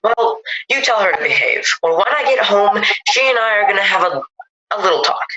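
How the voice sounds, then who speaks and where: A concerned, firm husband, voiced by a woman, with a bright, forceful tone and swooping intonation. The amateur radio play has a slightly muffled sound. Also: mid-range voice, very animated, a little fast. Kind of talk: amateur audio drama acting.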